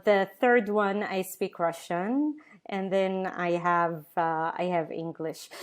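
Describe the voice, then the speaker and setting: A woman answers interview questions at an unhurried pace. She gathers her thoughts aloud with plenty of ums and repeated words before landing each point. Her voice sits in a middle register, heard through a video call. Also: mid-range voice. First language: Arabic. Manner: thoughtful, hesitant, many fillers and restarts. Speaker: guest. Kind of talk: webinar interview.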